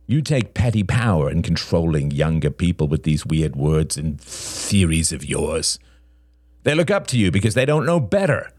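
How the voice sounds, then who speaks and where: A man voicing a cold, aggrieved father in an audiobook drama, deliberate and contemptuous as he confronts a rival. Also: sits low, sing-song, darkish tone, no echo, clean studio sound. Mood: contemptuous, threatening.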